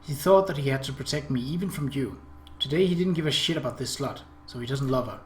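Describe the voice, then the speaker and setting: An observant, possessive younger sister from a visual novel, read by a man reasons things out in a measured way. The recording is roomy with background noise. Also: mid-range voice, natural voice. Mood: calm, reasoning.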